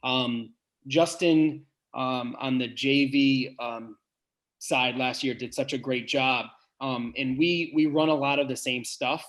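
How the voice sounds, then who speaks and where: A man with a big voice who is a head basketball coach, answering podcast questions earnestly and thinking aloud with pauses and restarts. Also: mid-range voice, fairly even intonation, fairly bright tone.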